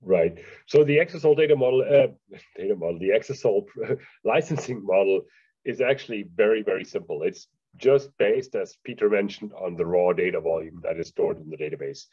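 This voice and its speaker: Low-voiced male vendor rep, mellow and easygoing, dull call audio. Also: fairly quick, husky. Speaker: database vendor representative. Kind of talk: webinar Q&A answer.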